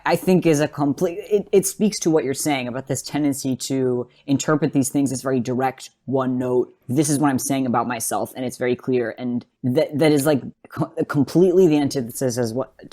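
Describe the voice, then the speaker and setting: A woman co-hosting a podcast, chatting analytically and casually, choosing words in a measured, thoughtful way. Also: medium pitch, mellow. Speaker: podcast co-host.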